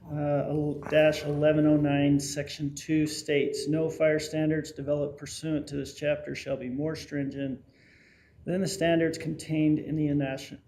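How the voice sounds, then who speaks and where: A man, an assistant fire chief, making a formal presentation to a city council and answering questions in a deliberate way. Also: fairly high pitch, creaky, a little hiss or hum. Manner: deliberate, reads statute aloud, self-conscious about loud voice.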